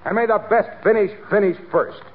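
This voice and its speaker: An actor playing a hearty, eager pitchman pal in 1940s radio announcer style, emphatic and salesmanlike. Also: fairly quick, lightly acted. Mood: pitching eagerly. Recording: scratchy narrow-band old radio broadcast.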